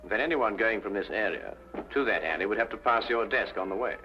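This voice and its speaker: An actor playing a cool, inquisitive gentleman detective, musing and courteous, in an old-time mystery drama. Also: lowish voice, lively intonation, brisk, faint music behind. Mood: polite probing. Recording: dull old radio recording.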